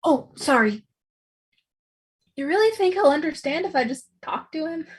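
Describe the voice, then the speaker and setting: An actress playing an anxious, awkward, eager co-op worker in a sitcom table read, flustered and stammering. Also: female voice, high-pitched, lively intonation, moderate pace.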